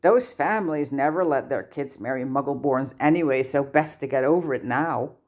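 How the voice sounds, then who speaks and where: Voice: medium pitch, normal speed, darkish tone, natural voice. Narrator acting young wizard boy, storybook, casual, frank, matter-of-fact, slightly wistful.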